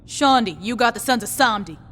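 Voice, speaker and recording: A woman's voice: on the high side, expressive, fairly quick, a bit bright, long reverb tail. A woman voicing a tough, foul-mouthed female gang boss in a video game cutscene, blunt and sarcastic. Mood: commanding. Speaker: gang leader player character.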